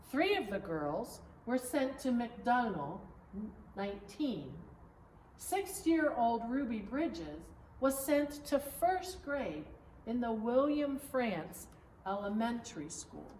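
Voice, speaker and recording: A woman reads a children's storybook aloud as narrator, phrase by phrase in a deliberate way. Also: a little high, big pitch swings, a little mellow. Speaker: pastor reading a storybook. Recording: echoey room, distant mic, faint noise.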